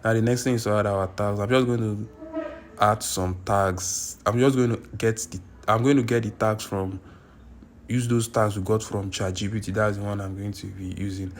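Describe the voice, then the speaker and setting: Flat-voiced man giving a tutorial. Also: fairly low pitch, normal speed. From Nigeria. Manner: repetitive, insistent, self-correcting. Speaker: YouTube tutorial creator. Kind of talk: screen-recorded tutorial. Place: indoors at a computer.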